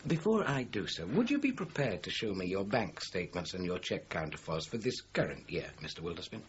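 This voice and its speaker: Very deep-voiced detective. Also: male voice, very animated. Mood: formal, stern. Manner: measured, formal, probing. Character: persistent, formal male police detective, shrewdly courteous. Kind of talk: British radio crime drama acting. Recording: muffled, noisy radio drama recording.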